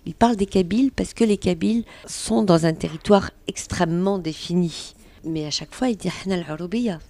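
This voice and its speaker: A woman's voice: fairly low pitch, brisk. A woman author in a radio interview, earnestly retelling a historical story and quoting what people said. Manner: earnest, animated retelling with quoted speech.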